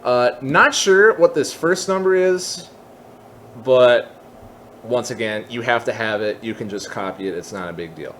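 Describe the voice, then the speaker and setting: A man giving an unscripted software tutorial, explaining casually step by step and thinking aloud as he goes. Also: medium pitch, unhurried, a little hiss or hum. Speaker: tutorial presenter.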